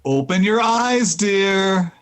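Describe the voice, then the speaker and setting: Actor as a cheerful teasing husband, lighter male pitch, slow pace, vintage radio comedy. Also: expressive. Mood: breezy. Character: breezy, teasing husband rushing off to work. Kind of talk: 1940s radio comedy acting.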